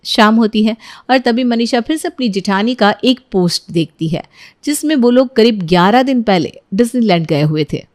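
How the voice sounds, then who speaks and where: A woman storyteller delivers scripted narration for a moral tale. Her voice sits a little high, and she reads it as a story narrator. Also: animated, normal speed, a little mellow, no room sound, clean studio sound.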